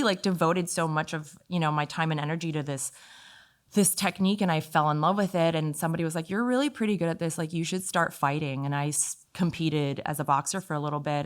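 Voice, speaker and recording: A woman with a slightly low voice speaks as a podcast guest. She tells personal stories casually and informally, with an animated way of acting out remembered conversations. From Italy. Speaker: podcast guest, fitness instructor.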